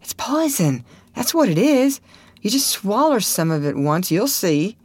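A woman voicing a superstitious, excitable young country boy, bossy but easily scared. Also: mid-range voice, moderate pace, no echo, pitched above the performer's own voice. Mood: bossy warning. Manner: breathy urgent exclamations and hushed whispering.

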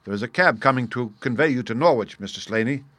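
Stern, self-assured gentleman detective, read by a male narrator for an audiobook: precise, formal, dry close sound. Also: mid-range voice, expressive, fast, dry recording, lightly acted. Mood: cool, firm. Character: masterful English detective, composed, stern and assured. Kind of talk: audiobook character dialogue.

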